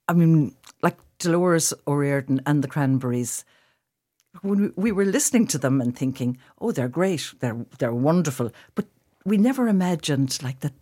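A woman's voice: sits low, a little mellow. A woman from Limerick with a Limerick Irish accent, chatting thoughtfully as a radio guest and often repeating phrases as she searches for words. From Limerick, Ireland. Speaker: actress and director, guest. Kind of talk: casual radio interview, reminiscing.

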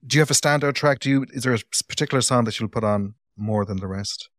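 A man's voice: mid-range voice, fast, fairly bright tone, no room sound. An Irish man hosting a podcast interview, chatty and playful with teasing asides, recorded on a close mic. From Ireland. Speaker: podcast host. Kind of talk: casual interview.

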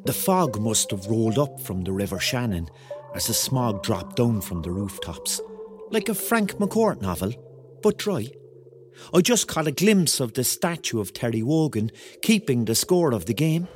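A man's voice: mid-range voice, very animated, normal speed, close to an everyday voice. An actor playing a Cork man in his thirties, narrating a radio drama in a wry, colloquial way with a Cork Irish accent. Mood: vivid, absorbed. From Cork, Ireland. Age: 30s. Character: thirty-year-old Cork man, wry, dreamy storyteller. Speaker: narrator and lead character. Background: music.

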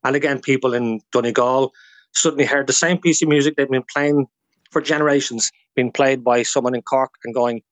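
A man's voice: medium pitch, fairly even intonation, fairly quick, dry recording. A man with an Irish accent talking as a guest historian in a radio interview, explaining things informally through anecdotes.

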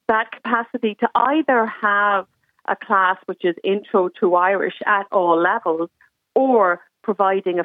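A woman phones in to a radio show as a mother and lays out her situation earnestly, pausing on ums as she explains. Her voice sits at a middle pitch. It comes through a thin, tinny, dry phone line. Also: dry recording. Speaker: caller, mother. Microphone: telephone.

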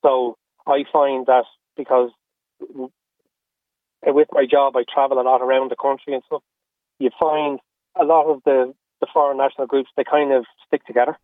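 A man's voice: medium pitch, little pitch movement, normal speed, no echo. Irish man on a tinny phone line. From Australia and Ireland. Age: middle-aged. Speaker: interview guest. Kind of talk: candid personal conversation. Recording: phone-like, narrow-band radio call.

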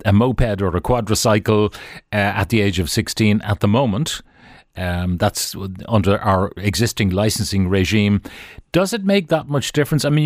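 A man's voice: on the low side, dry recording, clean studio sound. A man with an Irish accent hosting a current affairs radio interview, probing in a conversational, colloquial way.

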